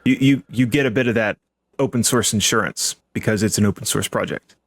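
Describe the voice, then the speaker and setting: Lowish-voiced man in analytical discussion. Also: fairly quick, dry recording, studio quality. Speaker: podcast panelist.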